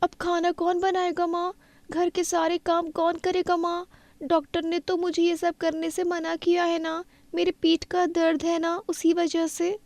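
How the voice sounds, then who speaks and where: A woman's voice: very high-pitched, completely flat delivery, a little slow, a little mellow, no room sound. A woman playing a sweet-talking, scheming young neighbour's daughter in a cartoon moral story. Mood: sweet, put-upon.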